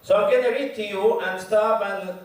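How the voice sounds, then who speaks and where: A man from Egypt with an Egyptian Arabic accent, giving a lecture at a community event, chatty with the crowd and taking care to speak slowly. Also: high voice, steady tone. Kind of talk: mosque lecture to an audience. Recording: very echoey hall, voice heard from far.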